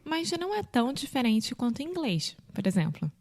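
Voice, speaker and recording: A woman with a Rio de Janeiro accent, co-hosting a language podcast and patiently explaining everyday greetings, acting out examples with bright jumps in pitch. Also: big pitch swings, a little fast. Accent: Rio de Janeiro Portuguese. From Brazil. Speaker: podcast co-host teaching Portuguese. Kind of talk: casual teaching chat.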